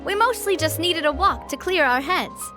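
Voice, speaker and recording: An actress playing a warm, confident young woman boss in an English video game dub, gracious and upbeat. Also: very high voice, animated, moderate pace, music in the background. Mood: breezy, friendly. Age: young adult.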